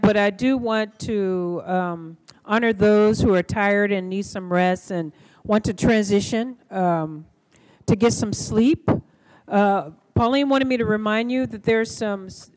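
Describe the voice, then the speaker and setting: Woman, medium pitch, event host, halting phrasing, gentle, deliberate, live address. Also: poor audio. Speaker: session host closing the evening with prayer. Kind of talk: live address to a group, announcements and prayer.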